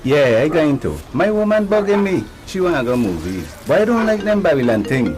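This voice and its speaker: A man's voice: on the high side, sing-song, brisk, music in the background. A man playing a casual young man with a Caribbean accent chatting in a radio drama. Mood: offhand.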